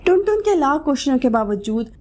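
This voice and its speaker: A woman's voice: high voice, big pitch swings, moderate pace, darkish tone, a little hiss or hum. A woman giving scripted narration of a children's moral story.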